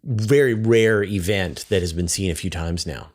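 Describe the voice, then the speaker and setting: A man with a somewhat low voice hosts a science interview. He talks conversationally and with enthusiasm, building long, chatty questions and comments. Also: moderate pace. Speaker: interview host, science journalist.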